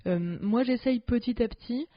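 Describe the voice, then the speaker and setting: A woman's voice: on the high side, normal speed, dry recording. A woman co-hosting a podcast in casual chat, talking loosely with slang, fillers and restarts. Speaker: podcast co-host. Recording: dull lo-fi podcast sound.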